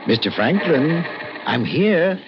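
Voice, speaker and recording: An actor playing a nervous, secretive old professor in 1940s radio drama, speaking in a fussy, halting, defensive way. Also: male voice, fairly high pitch, sing-song, music in the background. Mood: anxious, soothing. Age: older. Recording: muffled old radio broadcast with hiss.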